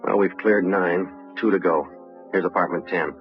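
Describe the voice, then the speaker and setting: A man's voice: low voice, fairly even intonation, moderate pace, close to an everyday voice. A man playing a hard-nosed, businesslike police detective, terse and matter-of-fact, in 1950s radio procedural style. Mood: calm, official. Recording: muffled old radio recording with music.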